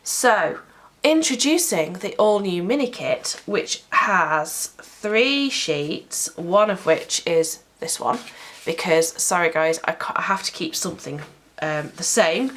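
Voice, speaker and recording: Sniffly British woman, lowish voice. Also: lively intonation, unhurried, a bit bright. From United Kingdom. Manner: chatty, explaining item by item. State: sniffly with a cold. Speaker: sticker shop owner. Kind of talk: product walkthrough vlog.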